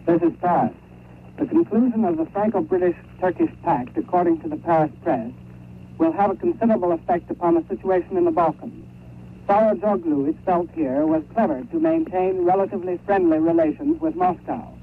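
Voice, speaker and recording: A man's voice: sits high, lively intonation, brisk, narrow, tinny audio. A man reading a scripted 1930s radio news report as a correspondent over a crackly shortwave link.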